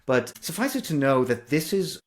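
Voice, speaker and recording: A man's voice: a little high, sing-song, normal speed. Effusive man co-hosting a book podcast. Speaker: co-host and writer. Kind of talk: enthusiastic book discussion podcast.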